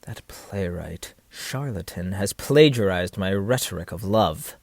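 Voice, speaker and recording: Man playing scheming period military captain, widely swooping pitch, florid, seductive, pausing rhetorically, dry close sound, audio drama. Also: animated, vocal fry, darkish tone, no room sound, exaggerated voice. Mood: bitter, scheming. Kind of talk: stage-play style period audio drama acting.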